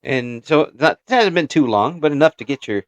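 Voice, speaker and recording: A man's voice: fairly high pitch, expressive, moderate pace, dry recording, highs cut off. A man hosting a casual interview podcast, chatting in an encouraging way.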